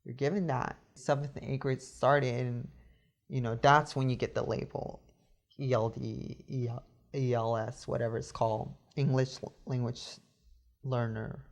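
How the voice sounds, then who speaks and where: A young man talks in an earnest, reflective way, often pausing on "you know" as he works through his thoughts. His voice sits at an ordinary middle pitch. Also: little pitch movement, gravelly. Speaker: interviewee. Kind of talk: reflective personal account.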